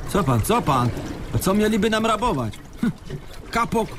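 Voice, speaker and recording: A man acting in a radio drama as a sardonic, excitable castaway adrift at sea, with a sarcastic, animated delivery. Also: sits high, normal speed. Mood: skeptical. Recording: clear water sloshing noise behind. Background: lapping, trickling water.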